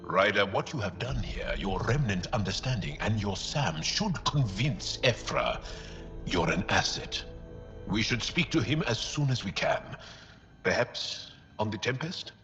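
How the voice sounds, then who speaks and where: A confident, diplomatic male alien companion from a sci-fi game. His lowish voice swings expressively and is direct. The audio is slightly dull, with clear music behind. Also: animated, measured pace. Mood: approving. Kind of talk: cinematic video game acting.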